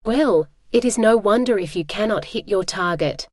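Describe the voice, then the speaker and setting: A woman doing a calm, teasing strong man. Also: medium pitch, normal speed, dry recording, clean studio sound, lightly acted. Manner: calm, matter-of-fact, formal phrasing.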